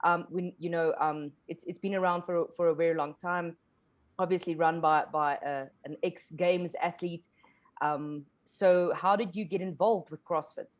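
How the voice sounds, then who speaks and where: A woman's voice: fairly low pitch, steady tone, normal speed, highs cut off. A woman hosting a casual interview show, upbeat and asking questions, with some fillers. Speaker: podcast host. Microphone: video call.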